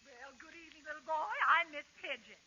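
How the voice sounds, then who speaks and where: An actress playing a prim, gushing spinster in 1940s radio comedy. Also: female voice, high voice, fairly quick, sharp tone, a little hiss or hum. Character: gushing, fluttery middle-class spinster seeking a husband. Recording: muffled old radio recording.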